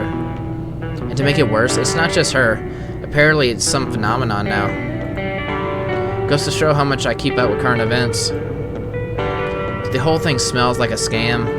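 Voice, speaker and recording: Male streamer reading story narration, medium pitch, with game music loud underneath. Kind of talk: reading visual novel narration aloud.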